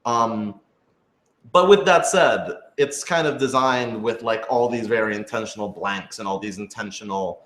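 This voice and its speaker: A man's voice: lowish voice, normal speed, a bit bright. A young American man, a director, answering questions casually in a discursive, emphatic way, repeating his phrases. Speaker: director and writer of a radio drama. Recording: echoey room.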